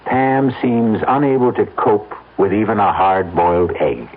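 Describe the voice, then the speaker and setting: A man with an American accent giving wry, measured scripted narration for an old-time radio drama. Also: on the low side. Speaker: narrator and storyteller. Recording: tinny, noisy old radio recording.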